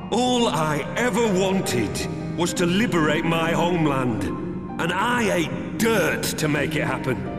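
Video game villain: bitter warrior, slow sneering oratory, echoing hall, loud music. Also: male voice, on the high side. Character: bitter, defiant traitor warrior, middle-aged man. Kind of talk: dramatic video game acting.

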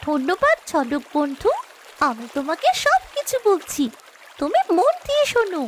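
A woman's voice: extremely high pitch, big pitch swings, normal speed, slight background noise. A woman voicing a brave human child in a fairy-tale cartoon, speaking in an earnest, urgent way. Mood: hopeful, anxious.

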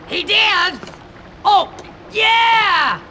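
Slovenly school cook, coarse, brash, slow-paced, cackling, video game character, faint noise behind. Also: female voice, very high-pitched, expressive, vocal fry, sharp tone, exaggerated voice. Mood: brash, amused. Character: gruff, slovenly, cackling middle-aged female school cook.

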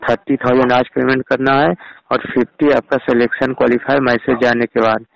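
A man's voice: mid-range voice, flat delivery, brisk, vocal fry, no room sound. A man on a recorded phone call, insistently persuading like an official and mixing in English words. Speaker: phone caller posing as an official. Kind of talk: persuasive sales-like phone pitch.

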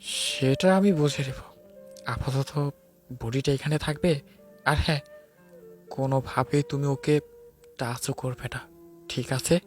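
A man playing a stern, commanding investigator with a cold, vengeful streak in an audio drama, speaking deliberately. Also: medium pitch, fairly even intonation, lightly acted. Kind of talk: dramatised audio love-crime story. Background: music.

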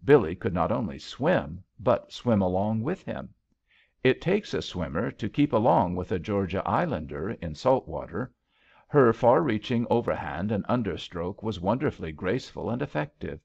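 A man narrates an old-fashioned love story as an audiobook. His voice is on the low side with plenty of creak, and his pitch moves in a lively way. The recording is slightly dull and lo-fi. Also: normal speed. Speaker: audiobook reader.

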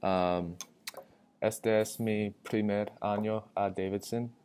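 Very slow, hesitant young American man. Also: lowish voice, fairly even intonation, darkish tone, clean tone. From Atlanta, United States. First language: English. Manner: hesitant, searching for words. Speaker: college student. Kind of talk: halting self-introduction in learner's Spanish. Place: indoor room with tall windows. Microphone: webcam framing with wired earphones.

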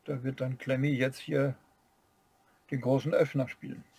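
A man's voice: medium pitch, level tone, normal speed, gravelly, darkish tone, husky, no room sound. A man co-hosting a building-set review, adding short remarks and joking asides in a casual chat. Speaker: co-host of a brick-building review channel.

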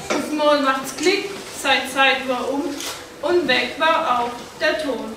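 Banat Swabian woman reciting a rhyming poem. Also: high-pitched. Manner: measured recitation with rhyming lilt. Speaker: poetry reciter. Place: large hall with audience. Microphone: far camera. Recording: echoey hall from far off, noise.

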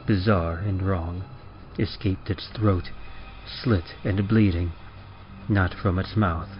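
A man giving a grave, measured, theatrical reading of rhymed horror verse in the manner of old-time radio. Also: sits low. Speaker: podcast presenter reading a horror poem. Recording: dull lo-fi sound under loud music. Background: music.

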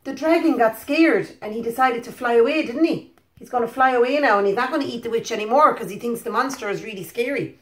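A woman's voice: fairly high pitch, fast. An Irish woman in her thirties reading a children's story expressively, with simple questioning asides to young listeners. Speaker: preschool storyteller. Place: living room, indoors. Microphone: phone or webcam at about arm's length. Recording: echoey living room.

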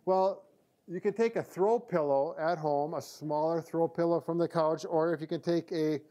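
A man's voice: a little high, steady tone, moderate pace, mellow. An American man in his fifties giving a casual, conversational instructional demonstration as a physical therapist. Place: indoor therapy room.

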